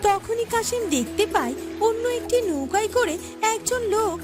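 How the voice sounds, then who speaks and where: A woman's voice: very high voice, animated, moderate pace, over music. A woman narrating a scripted cartoon story as its storyteller.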